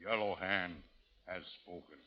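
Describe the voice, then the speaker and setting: A proud, vengeful war chief in an old radio drama. He speaks slowly and solemnly in halting broken English. The recording is dull, with a long reverb trailing the voice. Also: deep voice, steady tone. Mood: solemn, defiant. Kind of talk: 1930s radio drama acting.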